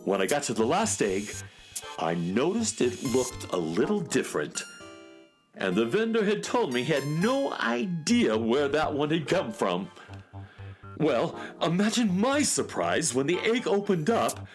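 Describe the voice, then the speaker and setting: A man's voice: fairly high pitch, very animated, unhurried, soft background music. Man playing a fussy space handyman, wildly swooping delivery. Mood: earnest explaining. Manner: fussy, precise, theatrical. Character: fussy, earnest eccentric male handyman inventor of outer space. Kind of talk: children's radio serial acting.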